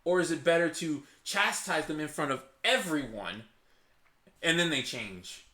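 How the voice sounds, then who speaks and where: A man hosting his own channel, reasoning aloud in casual commentary. He is passionate and restarts often, and says his naturally loud voice is held low on purpose. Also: expressive, unhurried, roomy sound. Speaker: channel host. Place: hot indoor room.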